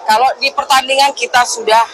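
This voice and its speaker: A woman's voice: high voice, steady tone, a little fast, crowd noise, noisy background. A middle-aged woman from Indonesia answering in a spontaneous interview, emphatic and joking.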